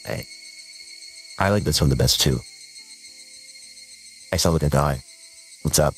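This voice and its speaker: A woman using a deep male voice-changer filter that makes her sound like a young man, chatting in an amused, casual way. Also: unhurried, faint music behind.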